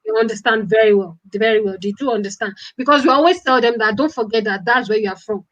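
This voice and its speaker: A woman's voice: a little high, fast, a bit bright, lo-fi sound. A woman chatting live as a guest writer, earnest and emphatic, often repeating her phrases. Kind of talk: casual live chat. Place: at home abroad.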